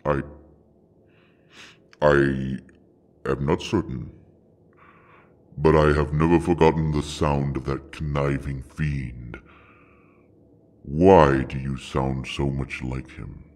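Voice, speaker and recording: A man's voice: rumbling low, fairly even intonation, takes it slowly, long pauses, darkish tone. A man playing an ancient, imperious dragon in an audio drama, speaking in a grand, formal, menacing way. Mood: stern, wary. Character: ancient, imperious male dragon guarding a hoard of books.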